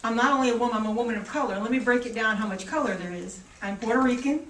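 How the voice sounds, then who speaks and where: A woman's voice: fairly high pitch, rapid-fire, fairly bright tone. A woman giving an emphatic statement as a panelist at a press conference. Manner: emphatic, declarative. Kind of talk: public statement. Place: press club conference room. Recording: echoey room, distant mic, faint noise.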